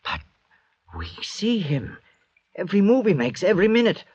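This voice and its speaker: An actor playing an anxious, pleading escaped convict in an old-time radio drama, questioning in an agitated way. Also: male voice, high voice, huge pitch swings, moderate pace, darkish tone, husky, exaggerated voice. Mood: anxious, puzzled. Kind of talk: 1940s-50s radio drama acting. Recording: dull, hazy old radio recording.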